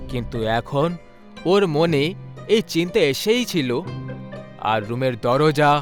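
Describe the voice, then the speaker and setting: A man narrating a scripted ghost story in a dramatic, suspenseful manner with pauses. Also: a little high. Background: faint background music.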